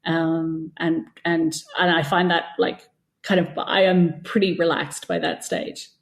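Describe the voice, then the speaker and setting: A middle-aged woman speaks as a guest in a relaxed, informal interview. Her voice sits on the low side with a clear gravelly fry. She chats loosely, working out her thoughts as she talks. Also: a little slow. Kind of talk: casual interview conversation.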